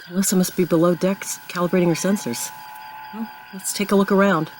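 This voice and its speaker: A woman's voice: lowish voice, fairly even intonation, a little fast, a little mellow, slight background noise, lightly acted, rough recording. A woman playing a confident, newly appointed starship captain in a science fiction audio drama, composed and authoritative. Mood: brisk, commanding.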